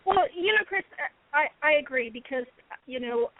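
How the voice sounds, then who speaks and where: A woman's voice: high-pitched, animated, moderate pace. A woman from the US East Coast, co-hosting a paranormal talk show, speaking earnestly in long rambling sentences. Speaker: co-host. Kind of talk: casual radio chat. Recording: tinny phone-like line.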